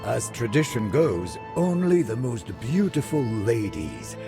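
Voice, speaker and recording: A man's voice: medium pitch, big pitch swings, a little slow, vocal fry, music in the background. A man voicing an earnest village father and husband in a video game, emphatic and theatrical. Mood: playful.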